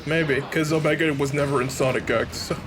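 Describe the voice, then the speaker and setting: Higher-voiced man joking over game music. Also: fairly even intonation, brisk. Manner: sarcastic, sweary. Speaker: let's play co-host. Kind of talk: casual, joking gaming commentary. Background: game music and sound effects.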